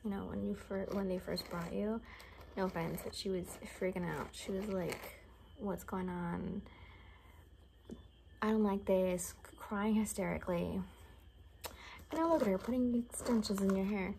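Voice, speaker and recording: A young woman doing an ASMR roleplay in soft, half-whispered, gossipy rambling chat. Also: medium pitch, measured pace, vocal fry, long pauses, background noise. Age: young adult. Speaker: ASMR performer. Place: small bedroom, indoors.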